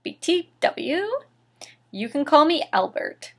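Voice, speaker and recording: A woman's voice: sits high, big pitch swings, slow, creaky, pitched above the performer's own voice, heavily acted. A woman voicing a cheerful, eccentric old male headmaster gone gothic, with a jolly, eager manner. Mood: happy, eager.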